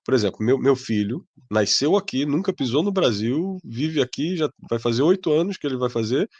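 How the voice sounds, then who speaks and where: A man's voice: mid-range voice, expressive, fairly quick, a little mellow, dry recording, muffled recording. A man with a Brazilian Portuguese accent, a missionary parent, answering an interview in a hesitant way and repeating words as he searches for them.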